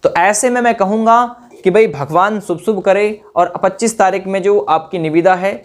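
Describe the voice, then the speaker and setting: A man's voice: high-pitched, moderate pace. Man giving an informal explanatory update. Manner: addresses listeners directly, repeats phrases, checks in with tag questions. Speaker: exam-update presenter for job aspirants.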